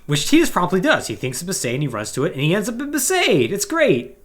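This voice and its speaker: A man's voice: high voice, fast. A man hosting a podcast, giving a casual, conversational recap of a story. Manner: animated, conversational, acting out paraphrased dialogue. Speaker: podcast host.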